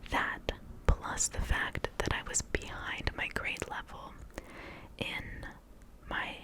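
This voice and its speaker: A young woman whose first language was Portuguese, telling a rambling, self-mocking story in a close-miked ASMR whisper. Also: slow pace. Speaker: ASMR creator.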